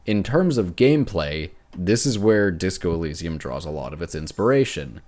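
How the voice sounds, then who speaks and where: Very deep-voiced man chatting casually. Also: lively intonation, moderate pace, a little mellow. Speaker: podcast host. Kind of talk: casual chat about video games.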